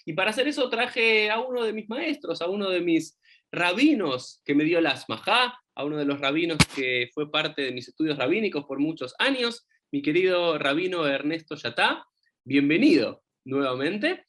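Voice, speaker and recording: Lively podcast host. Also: male voice, fairly high pitch, normal speed. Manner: warm introductions, animated questions. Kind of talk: interview hosting.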